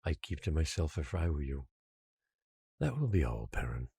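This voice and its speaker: Cold controlling professor in an audio drama, really deep husky voice, measured and in charge. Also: male voice, very animated, mellow, no echo, full character voice. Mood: cold, stern. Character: stern controlling professor who rules an island.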